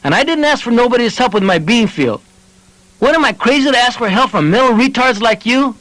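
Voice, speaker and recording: Man playing a high-voiced, hot-tempered bean farmer. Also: animated, fairly quick, fairly bright tone, no room sound, low-quality recording. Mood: exasperated. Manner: spluttering, blunt. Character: hot-tempered stubborn male bean farmer, defiant loner.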